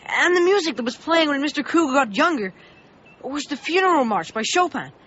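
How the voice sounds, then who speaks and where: A man's voice: very high voice, animated, brisk, sharp tone, muffled recording, heavily acted. An actor playing an anxious, loyal boy who narrates his adventure in a radio drama. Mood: earnest, uneasy. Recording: band-limited radio broadcast with music underneath. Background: dramatic music.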